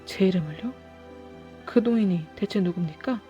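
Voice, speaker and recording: A woman's voice: medium pitch, moderate pace, dark tone, lo-fi sound, faint music behind, natural voice. A woman voicing a lovestruck young man in a storybook, earnest and emotional. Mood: anguished.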